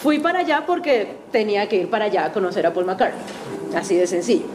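A woman's voice: mid-range voice, big pitch swings, fast talker, background noise. A woman from Venezuela with a Venezuelan Spanish accent, giving a chatty, self-deprecating talk to a class in a university auditorium. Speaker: guest speaker.

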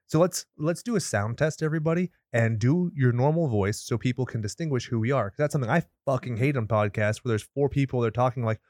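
Crude joking podcast host, dry booth. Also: male voice, medium pitch, fast, few pauses, a little mellow, no echo. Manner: crude, joking, theatrical bursts. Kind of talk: casual chat. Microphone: studio mic in a booth.

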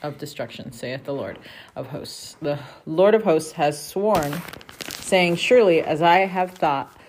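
A woman reads scripture aloud for her family in a low-pitched voice. She keeps a steady, careful read-aloud pace and stops briefly to correct a word. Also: a little slow, slight background noise. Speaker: family member reading scripture.

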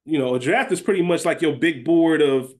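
A man's voice: a little high, fairly quick, a little mellow. A man co-hosting a podcast, talking through a TV series in an informal, conversational, explanatory way. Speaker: podcast co-host. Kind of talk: casual podcast discussion of a TV series.

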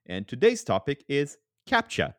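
A man's voice: huge pitch swings, moderate pace, no echo, clean studio sound. A man hosting a podcast in casual chat, playful and teasing. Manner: playful, jumps up in pitch for jokes. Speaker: podcast host.

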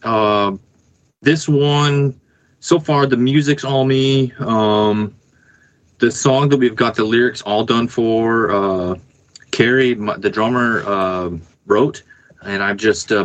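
A man speaking as a musician guest in a casual interview, thinking aloud in a halting way. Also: on the low side, steady tone, rough recording.

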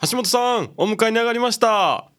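Radio drama local played by an actor: easygoing, nosy, very lively, swinging pitch. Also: brisk, noisy background, close to an everyday voice. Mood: polite, helpful.

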